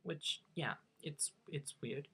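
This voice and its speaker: A man's voice: a little high, slow pace, sharp tone, breathy edge. Young man with a slight Norwegian accent. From United States. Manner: chatty, self-mocking, hesitant restarts. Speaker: vlogger. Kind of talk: casual storytelling.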